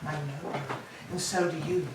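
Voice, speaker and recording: A woman's voice: low voice, fairly even intonation, normal speed, lightly acted. A woman playing a grieving, vindictive old Trojan queen in a staged tragedy reading, declaiming solemnly and fiercely. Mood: warning, bitter. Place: church, live staged reading. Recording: echoey church, distant mic, faint noise.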